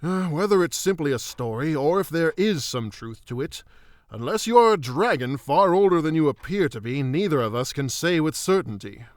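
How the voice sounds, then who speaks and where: A man playing a thoughtful druid royal and father in a fantasy audio drama, speaking formally and in measured phrases. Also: a little high, animated, no echo, clean studio sound, close to an everyday voice. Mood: grave, explanatory.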